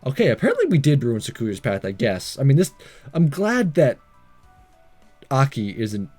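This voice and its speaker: A man's voice: medium pitch, wildly swooping pitch, normal speed, darkish tone, faint music behind. A man doing a let's play, reading a visual novel aloud with casual, sarcastic asides and the odd stumble over words. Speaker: let's player.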